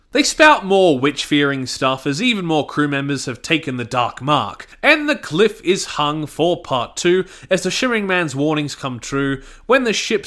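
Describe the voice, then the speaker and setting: A man's voice: on the high side, sharp tone. A man delivering a scripted review narration in a blunt, sweary, opinionated manner. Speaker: reviewer, channel host.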